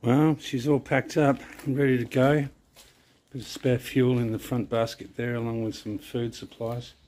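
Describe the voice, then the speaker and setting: A man's voice: medium pitch, moderate pace. A middle-aged man with an Australian accent, chatting casually to a handheld camera while camping by a lake. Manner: relaxed, chatty, easygoing. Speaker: vlogger. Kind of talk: casual travel vlog narration. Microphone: handheld camera at arm's length.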